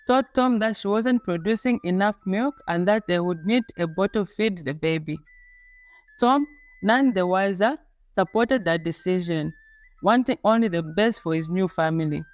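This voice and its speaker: A woman reading a scripted folktale as a storyteller, in a measured, dramatic storybook delivery. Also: mid-range voice. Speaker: storyteller, narrator. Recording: music playing under the voice. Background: background music.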